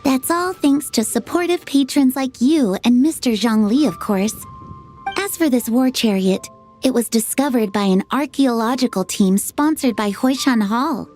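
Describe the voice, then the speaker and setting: A woman voicing a smooth, businesslike female antiques dealer with a polished sales manner, in a game cutscene. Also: fairly high pitch, normal speed, a little mellow, faint music behind, lightly acted.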